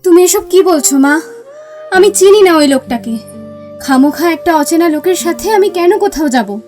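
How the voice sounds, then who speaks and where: A woman's voice: very high voice, animated, moderate pace, darkish tone, soft background music. A woman playing a guarded, irritated young woman resisting a pushy suitor in an audio drama. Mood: upset, defensive.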